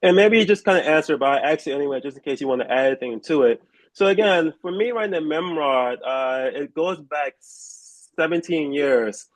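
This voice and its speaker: Casual male interviewer, higher pitch. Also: moderate pace, no room sound, low-quality recording. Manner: casual, conversational questioning. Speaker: magazine interviewer. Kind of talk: interview questions.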